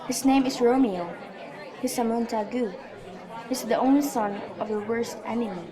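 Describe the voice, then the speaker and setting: A woman's voice: fairly high pitch, normal speed, lightly acted. A woman playing a fussing female servant and confidante in an amateur radio drama. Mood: informative. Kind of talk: amateur student radio drama of Shakespeare in modern English. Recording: light crowd noise behind voice.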